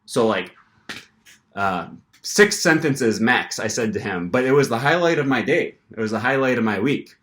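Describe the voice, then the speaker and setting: A young man vlogging casually, telling an animated story with lots of fillers and restarts. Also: mid-range voice, normal speed. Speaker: language-learning vlogger.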